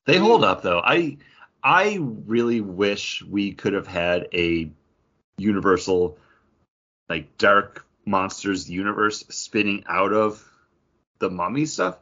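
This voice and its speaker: A man's voice: on the low side, slow pace, bright, forceful tone, highs cut off. A man co-hosting a podcast in casual chat, telling stories with theatrical build-ups. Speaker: podcast co-host. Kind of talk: casual chat with dramatic storytelling.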